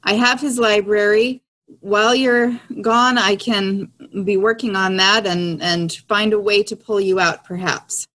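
Measured woman roleplaying, medium pitch. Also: fairly even intonation, a bit bright, rough recording. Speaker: player in an actual-play audio drama podcast. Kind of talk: in-character improvised tabletop roleplay.

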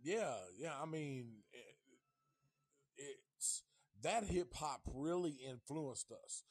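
A man's voice: a little high, expressive, slow pace, husky, no room sound. A fifty-year-old man from Tennessee hosting a casual livestream chat, rambling and swearing in a laid-back way. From Nashville, Tennessee. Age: 50s. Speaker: livestream host.

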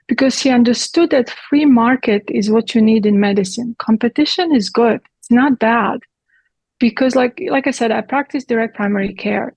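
A woman's voice: on the high side, darkish tone, husky, no room sound, low-quality recording. A middle-aged woman, a physician giving direct, explanatory answers as an interview guest, with occasional slips in her grammar. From Croatia.

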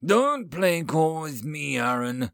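Man acting out an outraged alien chancellor, pitched high. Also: very animated, slow pace, vocal fry, a bit bright, dry recording, studio quality, full character voice. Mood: angry, exasperated. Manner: indignant, emphatic, demanding. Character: exasperated insectoid alien chancellor, male, clicking and indignant.

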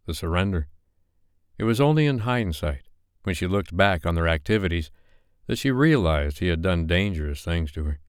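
A man narrates a romance audiobook in measured, deliberate phrases. His voice is very low and smooth, recorded dry and close, with every word crisp. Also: no room sound. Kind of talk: scripted romance novel narration.

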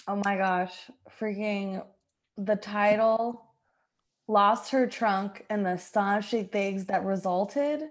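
A woman's voice: mid-range voice, takes it slowly, slight background noise. A woman chatting casually and comedically as a podcast cast member.

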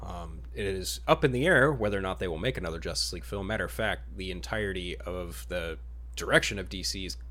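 Male podcast host, lower-pitched voice, casual chat delivery. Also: fast talker, fairly bright tone. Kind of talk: casual chat about superhero films.

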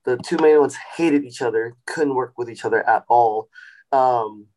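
Man telling his story, relaxed. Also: mid-range voice, normal speed, rough recording. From Sacramento, California. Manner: relaxed storytelling, frequent fillers and restarts. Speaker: guest chef and restaurant founder. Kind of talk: casual interview.

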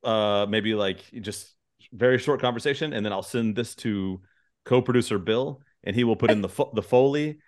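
A man's voice: on the low side, moderate pace. A man hosting a podcast in casual, joking conversation, rambling along with goofy enthusiasm. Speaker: podcast host.

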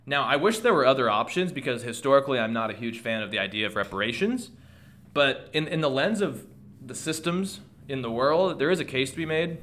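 A man's voice: mid-range voice, animated, brisk, fairly bright tone, slight background noise. A man hosting a solo podcast, commenting on world news in a conversational way, thinking aloud with restarts and asides, and losing his voice. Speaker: podcast host.

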